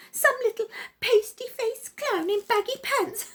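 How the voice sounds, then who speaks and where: A woman voicing a vain, flighty young ballerina doll in a storybook, haughty and very excitable. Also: very high voice, slow pace, voice pushed up, full character voice. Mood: mocking scorn.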